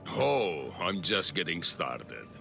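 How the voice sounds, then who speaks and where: An actor playing a smug, scheming politician villain in an ancient Greek video game, speaking in a theatrical, mocking, deliberate way. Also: male voice, sits low, sing-song, creaky, pushed and bright, soft background music, heavily acted. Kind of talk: dramatic video game cutscene acting.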